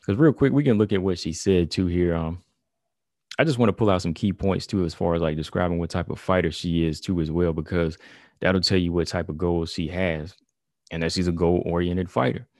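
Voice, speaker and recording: Low-voiced man talking fight sports. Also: fairly even intonation, a little fast, a little mellow, no echo, clean studio sound. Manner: conversational, heavy on "you know" and "y'all", reads quotes aloud. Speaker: combat sports commentator. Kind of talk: casual commentary monologue.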